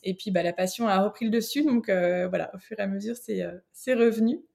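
French woman guest, mid-pitched voice, halting speech, lots of fillers, casual interview. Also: vocal fry. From France. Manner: halting, many fillers and restarts, soft and thoughtful.

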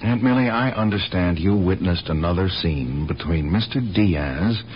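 An actor playing a dogged, skeptical police lieutenant in a radio drama, questioning people insistently. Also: male voice, sits low, expressive, measured pace. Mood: exasperated, concerned. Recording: dull, hissy old radio broadcast.

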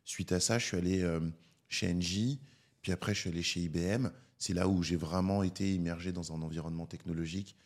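A young Parisian man in his twenties, a podcast guest, explaining his career in a measured way with many hesitations. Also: sits low, steady tone. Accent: Parisian French. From France. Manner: measured, filler-heavy, explanatory. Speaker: podcast guest, public affairs director. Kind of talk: casual interview talk.

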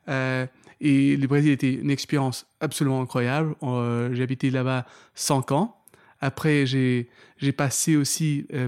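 A man's voice: a little high, darkish tone. A man from Portugal with a Portuguese accent and Portuguese as his first language, a guest on a podcast, talking casually with many fillers and restarts. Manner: hesitant, many fillers and restarts, laughs easily. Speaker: podcast guest, startup founder. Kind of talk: casual interview.

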